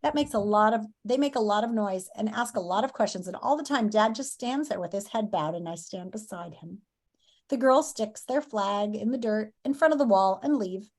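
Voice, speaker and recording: A woman's voice: mid-range voice, animated, normal speed, darkish tone. A woman teacher reading a story aloud and giving lesson instructions to pupils, expressively and directly.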